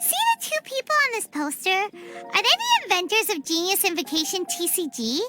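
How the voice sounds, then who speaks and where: A woman voicing a tiny, excitable floating companion girl in a video game cutscene, chatty and referring to herself in the third person. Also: very high voice, normal speed, over loud music.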